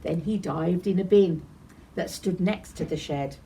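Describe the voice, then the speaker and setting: A woman reading a rhyming children's picture book aloud as a storyteller, in an animated, deliberate way. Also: fairly low pitch, husky, poor audio. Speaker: library storyteller.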